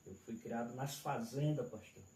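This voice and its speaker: A man's voice: medium pitch, moderate pace, mellow, far from the mic. A man with a Northeastern Brazilian accent from Alagoas, an evangelist guest giving plainspoken, respectful answers about his life. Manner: plainspoken, respectful, keeps addressing the pastor. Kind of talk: testimony interview answers. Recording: echoey room from afar with faint noise.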